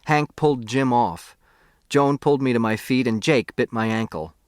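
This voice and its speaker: A man narrates a novel in the first person with a medium-pitched voice. The delivery is scripted storytelling, recorded close in a dry studio. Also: normal speed, no echo. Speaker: audiobook narrator.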